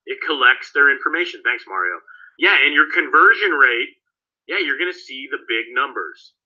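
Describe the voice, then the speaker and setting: A man's voice: mid-range voice, lively intonation, moderate pace, muffled recording. A man teaching a live online training session, casual and speaking to students by name, while he is losing his voice. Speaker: course instructor.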